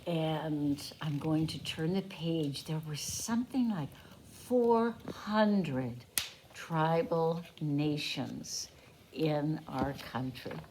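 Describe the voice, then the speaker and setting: A woman teacher reading a picture book aloud to young children, deliberately, adding simple explanations as she goes. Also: low voice, animated, mellow, a little hiss or hum.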